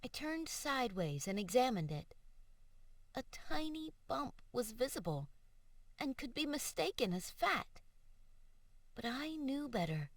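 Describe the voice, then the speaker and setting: A woman audiobook narrator reading first-person fiction in an expressive, emotive and deliberate way. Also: sits high, no echo. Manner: emotive, measured, deliberate delivery. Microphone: studio voice-over microphone.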